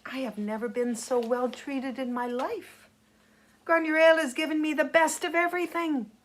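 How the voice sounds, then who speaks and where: A woman's voice: high-pitched, fairly even intonation, moderate pace, pitched above the performer's own voice, close to an everyday voice, low-quality recording. A woman voicing an earnest, indignant young boy in a story, speaking emphatically and with reproach. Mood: earnest, admiring. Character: indignant young boy scolding his proud father.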